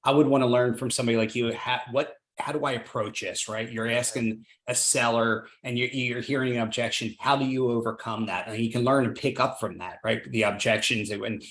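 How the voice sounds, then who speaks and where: A man's voice: medium pitch, fairly even intonation, a little fast, hardly any pauses, a bit bright. A man hosting a podcast interview, upbeat and encouraging, often tagging sentences with "right?". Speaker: podcast host, real estate investor.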